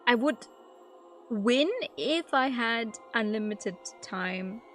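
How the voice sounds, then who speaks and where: A woman's voice: fairly high pitch, slow pace, fairly bright tone. A woman live streaming a game, chatting casually and informally with her viewers. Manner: informal, conversational, talking to viewers. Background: background music.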